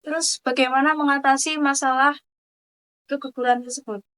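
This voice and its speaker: A woman's voice: high voice, fairly even intonation, normal speed, a bit bright, roomy sound. A woman interviewing a mother, reading polite questions with small hesitations. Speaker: student interviewer.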